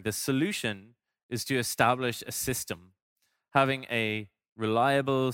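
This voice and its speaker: A man's voice: medium pitch, pushed and bright, clear, resonant tone, dry recording, clean studio sound. A man giving a prepared presentation as a design director, explaining things with conversational asides. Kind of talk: prepared conference-style presentation.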